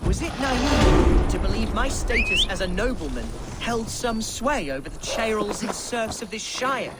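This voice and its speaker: A man's voice: high voice, very animated, measured pace. An actor playing a pompous, theatrical young Saxon nobleman in a game cutscene. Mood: musing. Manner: pompous, theatrical, florid speech. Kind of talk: video game cutscene acting. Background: orchestral game music.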